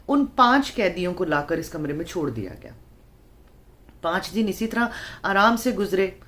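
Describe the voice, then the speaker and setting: A woman telling a horror story in a dramatic, suspenseful way, phrasing it deliberately with pauses. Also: on the low side. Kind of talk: dramatic horror story narration in Urdu-Hindi.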